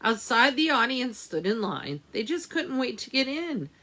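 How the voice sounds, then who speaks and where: A woman reading a picture book aloud to young children as a library storytime host, with dramatic, drawn-out phrasing and chatty asides. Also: on the high side, sing-song, pushed and bright.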